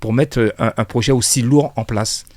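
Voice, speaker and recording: A male radio host interviews guests in a dry studio. His voice sits at a middle pitch, and he builds long questions hesitantly, often repeating phrases as he goes. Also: steady tone, moderate pace, darkish tone, no echo.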